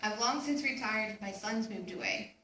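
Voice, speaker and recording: A woman's voice: medium pitch, moderate pace, bright, forceful tone, close to an everyday voice. A woman playing a proud, wistful father in a readers theater recitation. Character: wistful, proud retired father looking back. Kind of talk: spoken song lyrics in readers theater. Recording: echoey room, distant mic, faint noise.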